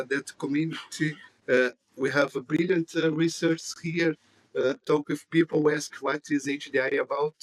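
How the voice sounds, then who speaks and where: Halting man, dry close sound. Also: mid-range voice, no echo, poor audio. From Chile. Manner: halting, many fillers, non-native phrasing. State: jet-lagged. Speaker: committee chair at a conference. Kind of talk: hesitant conference speech. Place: conference hall.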